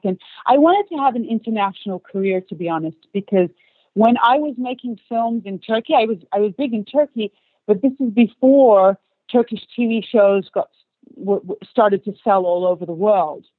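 A woman's voice: mid-range voice, normal speed, no echo. Woman guest, forties, from Australia; talkative long answers; tinny phone-quality audio. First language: English. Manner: chatty, long run-on answers with light laughs. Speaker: actress guest. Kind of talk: podcast interview.

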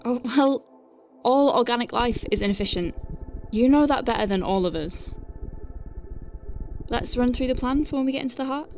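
Actress doing a nervy wry lady space doctor thinking out loud, audio drama, bit of music behind. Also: fairly high pitch, moderate pace, long pauses, lightly acted. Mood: wry, nervous. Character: female space-whale medic piloting a craft, anxious but wry. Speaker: ship's medic and pilot. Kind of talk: science fiction audio drama acting, conversational.

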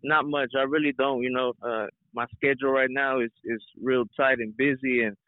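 A man's voice: mid-range voice, steady tone, moderate pace, no echo. A young man from Mexico who grew up in Texas, chatting casually as an interview guest and often saying "you know". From Mexico, raised in Houston, Texas. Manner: peppers talk with "you know". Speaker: guest, rapper and reggaeton singer. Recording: narrow, tinny phone line.

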